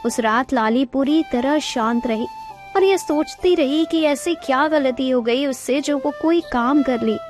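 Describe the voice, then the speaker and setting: A woman's voice: high-pitched, a little slow, a little mellow, faint music behind. A woman narrator reading a scripted Hindi moral story.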